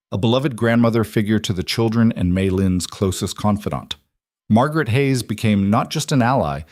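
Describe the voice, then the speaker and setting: A man narrating a scripted story in a measured, even way. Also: lowish voice, no echo, clean studio sound.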